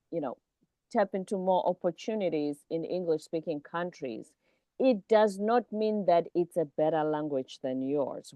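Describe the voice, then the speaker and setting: Lady podcast host, lowish warm voice, dry close sound, keen and emphatic. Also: no room sound. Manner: engaged, emphatic, affirming. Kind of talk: interview conversation.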